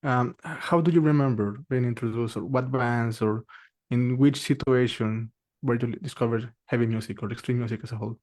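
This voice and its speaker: A male interviewer who builds his questions slowly, stumbling over and repeating words as he goes. His voice is at a middling pitch for a man. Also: darkish tone. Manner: halting, repeats words while forming questions.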